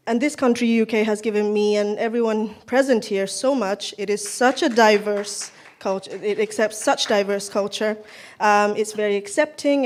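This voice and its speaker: A woman's voice: medium pitch, a little mellow. Woman, from Nepal, singer talking between songs, stage microphone, indoor hall, apologetic. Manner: chatty, self-deprecating, apologetic. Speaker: singer at a cultural event. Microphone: handheld stage microphone.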